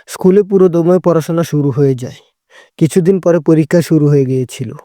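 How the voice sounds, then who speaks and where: A man tells an animated storybook fable as narrator. His voice is fairly high for a man yet soft and mellow, in a very dry recording. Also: brisk, no room sound. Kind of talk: scripted storybook narration for a cartoon.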